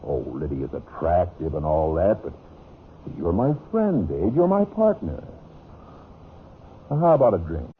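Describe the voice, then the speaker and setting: A man's voice: low voice, big pitch swings, unhurried, long pauses. A man playing a wronged husband and genial but pointed business partner in a vintage radio drama. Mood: feigned friendliness. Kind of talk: 1950s radio drama acting. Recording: muffled, hissy old radio recording.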